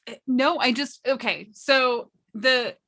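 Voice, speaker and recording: A woman with a bright, slightly high voice talks casually about storytelling in tabletop games. She explains with emphasis and stretches out the words that matter to her. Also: slow pace. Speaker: panelist at a tabletop roleplaying storytelling workshop.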